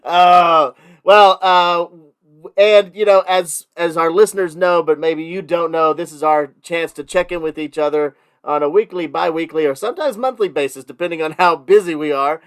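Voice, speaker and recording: A man's voice: a little high, normal speed, fairly bright tone, smooth. A man hosting a podcast, opening the show and rambling along with frequent laughs. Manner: giggly, rambling, laughs often. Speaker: podcast co-host. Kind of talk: casual podcast chat.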